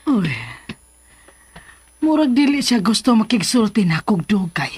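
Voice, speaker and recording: A woman playing a concerned, patient middle-aged mother with a husky voice in a Filipino radio drama. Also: on the high side, very animated, a little slow, a little mellow, exaggerated voice.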